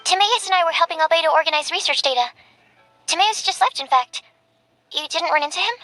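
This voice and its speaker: An earnest young female scholar in an anime-style game. The voice is high and bright, with polite, formal wording and a timid hesitancy. Faint music runs underneath. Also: fast talker. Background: soft game music.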